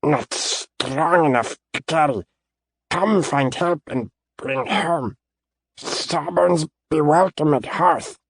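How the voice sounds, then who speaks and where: A man voicing a tiny timid male kobold who talks slowly in halting, broken grammar. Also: a little high, expressive, vocal fry, husky, no room sound, voice pushed up, heavily acted. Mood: wheedling. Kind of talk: audiobook character voice.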